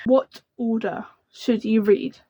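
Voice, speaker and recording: A young woman who speaks in a soft, quiet voice, chatting to camera about books in a rambling, gushing way. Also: a little high, fairly even intonation, slow pace, husky, no echo, highs cut off. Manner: rambling and gushing, full of fillers. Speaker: book vlogger. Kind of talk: casual book chat to camera.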